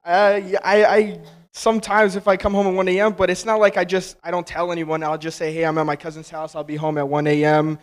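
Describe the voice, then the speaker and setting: A man's voice: high voice, flat delivery, a little fast, hardly any pauses. An eighteen-year-old man speaking casually as a panelist, with fillers like "you know what I mean". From Albanian household.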